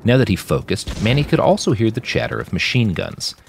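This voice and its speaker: A man reading dramatic fiction aloud in an expressive, emphatic storytelling manner. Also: on the low side, a little fast, vocal fry, slight background noise. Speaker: author narrating own fiction. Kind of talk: dramatic audiobook narration.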